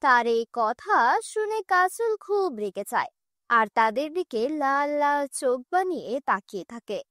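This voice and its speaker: A woman's voice: high voice, sing-song, unhurried, a bit bright, dry recording, clean studio sound. A woman narrating a scripted folk horror cartoon story as its storyteller.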